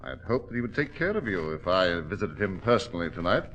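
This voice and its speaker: An actor playing a cold, cruel villain with polite, formal menace in 1940s radio style. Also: male voice, low voice, big pitch swings, quick pace, fairly bright tone, muffled recording. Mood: smooth menace. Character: suave, cold, cruel male villain with a pistol. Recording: old 1940s radio recording.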